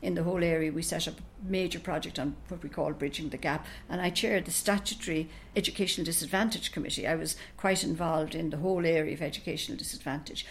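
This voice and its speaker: A woman's voice: sits low, slight background noise. Older Irish woman, reflective and thoughtful. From Ireland. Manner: thoughtful, unhurried, explains at length. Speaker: interview guest, retired professor. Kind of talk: reflective interview answers.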